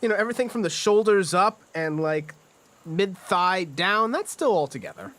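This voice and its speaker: Dude running a tabletop game, sorta high voice, describing the scene off the cuff. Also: male voice, sing-song, moderate pace, fairly bright tone. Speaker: game master. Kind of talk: improvised tabletop game narration.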